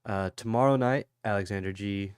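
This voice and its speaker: Male host, stuffy-nosed and tired, low smooth voice, dry close sound, easygoing chatter to viewers. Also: brisk, a little mellow, no room sound. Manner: rambling, greets chat viewers by name; low energy. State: congested, sniffly. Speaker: crypto livestream host. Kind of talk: casual livestream chat.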